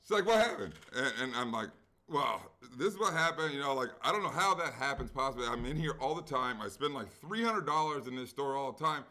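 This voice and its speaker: A man's voice: medium pitch, brisk. A middle-aged man on a sports betting podcast, telling a long everyday story and acting out the people in it, with casual swearing. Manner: animated storyteller, acts out quoted people, swears freely. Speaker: podcast co-host. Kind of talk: casual chat, long anecdote.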